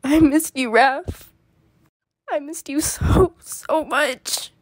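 A woman voicing an affectionate, excitable young mutant turtle in a cartoon comic dub, earnest and emotional. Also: sits high, drawn-out words, no echo, rough recording. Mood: tearful relief. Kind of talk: fan comic dub acting.

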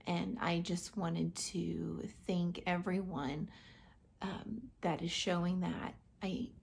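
An American woman in her thirties speaks to camera in a casual, earnest way. Her voice sits fairly low, with plenty of vocal fry. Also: darkish tone. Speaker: vlogger. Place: small indoor room.

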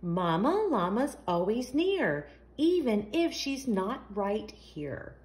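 Lady reading a picture book to little kids, highish voice, really expressive, sing-song. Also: takes it slowly, a little hiss or hum. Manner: sing-song, asks the young listeners questions. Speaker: children's storytime host. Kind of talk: expressive read-aloud of a picture book for young children.

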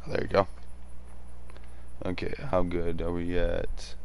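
A man's voice: monotone, unhurried, a little hiss or hum. A man with a sore throat, chatting casually and rambling with many fillers while livestreaming a game. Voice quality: deep and gravelly. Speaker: streamer.

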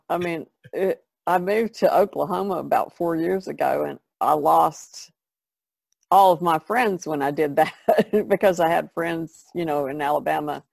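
Female podcast regular, creaky fry-laden voice, dry sound, easy conversational delivery. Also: low-pitched, steady tone, normal speed, darkish tone, dry recording. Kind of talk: casual chat. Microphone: video call.

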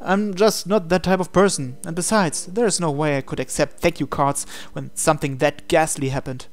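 A man reading a visual novel aloud as expressive narration, in a measured, dramatic way. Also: fairly high pitch. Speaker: gaming channel host reading aloud. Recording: voice over game music. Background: game music.

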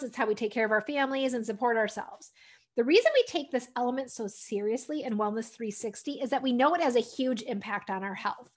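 An American woman, around her thirties or forties, giving an encouraging, conversational instructional talk to camera as a wellness coach. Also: on the high side. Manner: encouraging, conversational, often ending points with "right?". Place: furnished room at home, indoors. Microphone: desktop condenser microphone.

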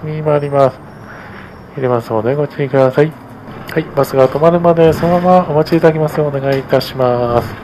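A man's voice: fairly high pitch, darkish tone, low-quality recording. A man working as a bus driver, giving polite, formulaic announcements to passengers over the onboard speakers. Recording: faint vehicle noise behind.

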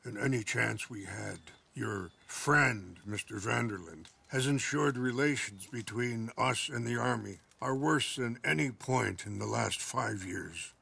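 A dignified old chief in a western video game, full of sorrow. His voice is deeply creaky and husky, and his words come slowly. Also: bright, forceful tone, full character voice. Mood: grave, resigned. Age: older. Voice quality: gravelly, husky. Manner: slow, solemn. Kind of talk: cinematic western game dialogue.

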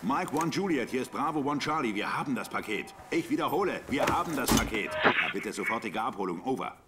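An actor playing a gruff, exasperated army sergeant leading a squad in a video game, curt and commanding. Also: male voice, medium pitch, animated, fairly quick, heavy background noise, natural voice. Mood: businesslike.

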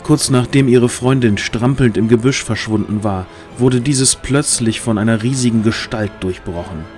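A man's voice: medium pitch, moderate pace, darkish tone. A man narrating a fantasy audio drama in a dramatic, scripted storytelling style. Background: music.

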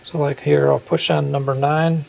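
A man's voice: fairly high pitch, moderate pace, gravelly, rough recording. A man narrating a hands-on repair tutorial in a casual, folksy, informal way, recorded at home on a handheld camera. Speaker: repair video host. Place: room at home. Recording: steady hum and room noise.

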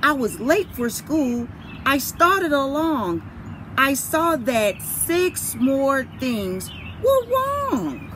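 A woman reads a children's picture book with deliberate, dramatic expression. Her voice is fairly high and very animated. She is recorded outdoors, with clear noise of birds and surroundings behind her. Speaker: teacher reading aloud. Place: outdoors by a brick house.

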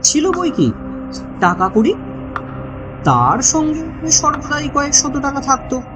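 A woman's voice: high voice, big pitch swings, slow, voice pushed up, exaggerated voice. A woman voicing a polite, worried wife giving earnest, emphatic answers in a mystery audio story with music.

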